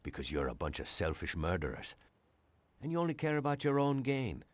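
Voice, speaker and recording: Cynical, swingy-voiced police detective. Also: male voice, medium pitch, huge pitch swings, a little fast, dry recording. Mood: scornful. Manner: sarcastic, challenging. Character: cynical, righteous male police detective questioning a mobster. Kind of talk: video game voice acting.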